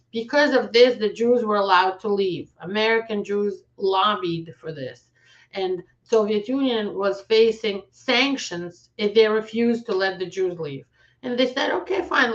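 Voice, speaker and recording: A woman's voice: mid-range voice, muffled recording. A woman in her forties, an immigration lawyer from Ukraine, telling her own family story in an earnest, conversational way. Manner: earnest, conversational, with self-corrections. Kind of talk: personal story to camera.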